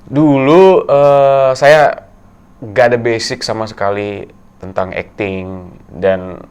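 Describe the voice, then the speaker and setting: A young man from Tegal, a guest in a casual interview, talking in a soft, halting way with many fillers. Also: mid-range voice, steady tone, smooth. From Tegal, Central Java, Indonesia. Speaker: guest actor.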